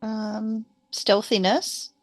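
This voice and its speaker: A woman's voice: mid-range voice, flat delivery, extremely slow, creaky, a crowd in the background. A woman talking casually as a player during a tabletop role-playing game.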